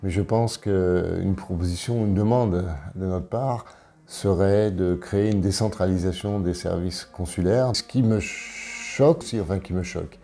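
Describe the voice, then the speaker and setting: Very deep, dark-voiced man in his sixties. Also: a little slow. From Paris, France. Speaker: election candidate being interviewed. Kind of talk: interview answers, self-presentation.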